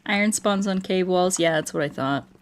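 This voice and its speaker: A woman's voice: on the low side, normal speed, slight background noise. A woman livestreamer in casual, playful, rambling chat, answering her viewers aloud as she plays a game.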